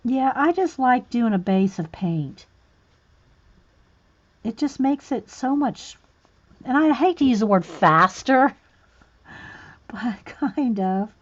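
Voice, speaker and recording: A woman hosting a casual painting livestream, chatting with viewers and muttering soft asides while she works at a table. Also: a little high, expressive, slow, long pauses, highs cut off. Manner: chatty, reads and answers viewer comments. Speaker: art livestream host. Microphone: overhead camera on hands and page.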